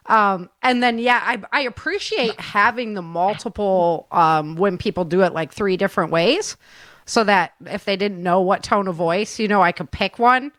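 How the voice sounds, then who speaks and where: A woman's voice: medium pitch, normal speed, a bit bright, no echo. Chatty woman hosting a casual podcast. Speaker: audio drama host and editor.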